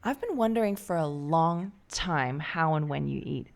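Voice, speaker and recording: A woman plays a nagging mother from an audio drama. Her voice sits low and creaks noticeably. She speaks with cold disapproval and picks at her grown son. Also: animated, moderate pace. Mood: disapproving. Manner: critical, disapproving.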